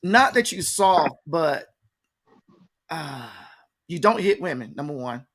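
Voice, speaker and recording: Higher-voiced American man interviewing casually. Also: animated, slow, a bit bright. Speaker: interviewer, host.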